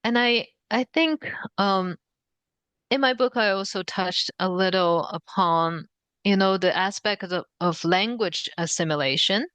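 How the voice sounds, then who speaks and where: A woman from Shanghai, a native Shanghainese speaker with a Chinese accent, answering interview questions thoughtfully with many pauses and fillers. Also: mid-range voice, fairly bright tone, clear, resonant tone, no room sound. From Shanghai, China. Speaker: author guest. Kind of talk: conversational interview.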